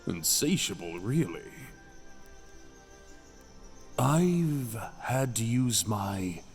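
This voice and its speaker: A man performs an ancient dragon king in chains, speaking very slowly with languid, dramatic pauses. He is seductive and possessive with an edge of madness and menace, as in a fantasy audio roleplay. Long cavern reverb surrounds him and music plays behind. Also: medium pitch, expressive, darkish tone. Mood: seductive, boastful. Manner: languid, teasing, drawn-out phrasing with dramatic pauses. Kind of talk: intimate ASMR roleplay acting.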